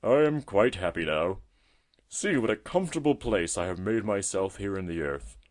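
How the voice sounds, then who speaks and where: An old male tortoise from a storybook, acted out by a man, talks in an emphatic, avuncular way. He is chatty and friendly with a testy streak, and his pitch swings up and down broadly. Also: lively intonation, normal speed, a little mellow, close to an everyday voice. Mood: friendly, contented. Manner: emphatic, chatty, avuncular, fond of tag questions. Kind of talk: storybook character voice.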